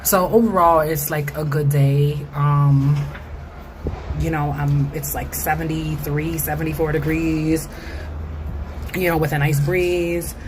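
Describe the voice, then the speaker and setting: Low-voiced American woman chatting over noise. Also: steady tone, slow. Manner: informal, slangy, conversational. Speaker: vlogger. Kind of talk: casual chatty vlog monologue.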